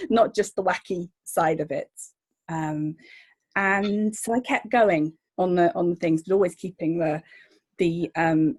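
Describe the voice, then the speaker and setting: A woman's voice: fairly low pitch, a little mellow, breathy edge. A woman, a journalist guest, chatting eagerly and rambling with restarts. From Northern Ireland. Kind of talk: casual interview chat.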